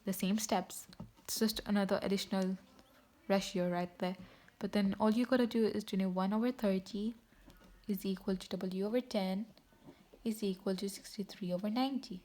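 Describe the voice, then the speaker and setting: Woman, math tutor, casual and chatty, fixes her slips out loud, mid-range voice. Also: medium pitch, steady tone, normal speed. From Tanzania. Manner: chatty, self-correcting, talks to viewers directly. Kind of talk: casual teaching video.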